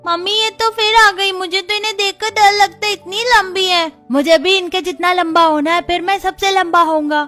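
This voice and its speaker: A woman's voice: very high voice, fairly even intonation, moderate pace, hardly any pauses, sharp tone, faint music behind. A woman voicing a small, cheeky, blunt boy in a cartoon family story. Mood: cheeky, whiny.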